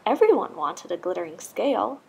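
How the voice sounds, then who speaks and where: A woman narrating a children's storybook aloud in an expressive, scripted style. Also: mid-range voice, brisk, gravelly, husky. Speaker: storybook reader.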